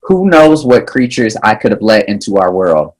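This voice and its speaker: A man's voice: fairly low pitch, sing-song, normal speed, darkish tone, poor audio. An actor at a table read playing an anxious, sardonic teenage boy sorcerer. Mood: worried.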